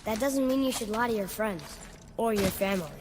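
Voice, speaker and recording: A man's voice: sits high, brisk, noisy background, natural voice. A young male actor playing a headstrong, cocky boy demigod in a video game, blunt and insistent. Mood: questioning. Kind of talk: dramatic video game acting.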